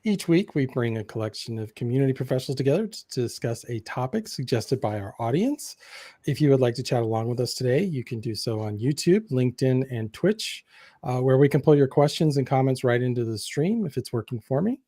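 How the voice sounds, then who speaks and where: A man hosting a live podcast over a video call, relaxed and loose, with frequent fillers and short laughs. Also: medium pitch, brisk, no echo, clean studio sound. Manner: relaxed, with many fillers and chuckles. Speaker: podcast host.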